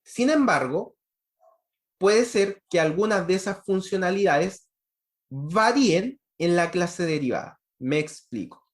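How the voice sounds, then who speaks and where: A man's voice: high voice, lively intonation, a little slow. A man giving an online university lecture on programming, explaining step by step and checking in with frequent rhetorical questions.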